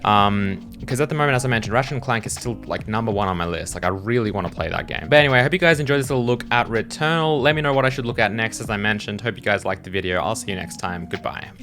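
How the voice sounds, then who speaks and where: A man's voice: lowish voice, animated, quick pace, few pauses, fairly bright tone, soft background music. A man giving casual, unscripted reaction commentary as a host, hesitant and rambling as he thinks aloud. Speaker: host reacting to game trailers as a game developer.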